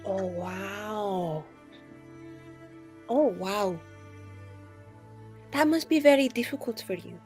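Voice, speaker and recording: A woman's voice: mid-range voice, animated, slow, long pauses, darkish tone, soft background music, voice pushed down. A woman voicing a chatty, naive, good-natured elf in a tabletop roleplay, rambling playfully. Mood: sympathetic. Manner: rambling, filler-heavy, playful.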